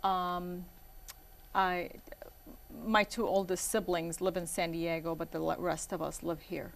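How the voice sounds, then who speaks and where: A woman's voice: lowish voice, flat delivery, a little slow, creaky. A woman in her forties, born in Iraq and raised in Michigan, is a guest on an interview show. She talks casually and rambles, with frequent ums and you-knows.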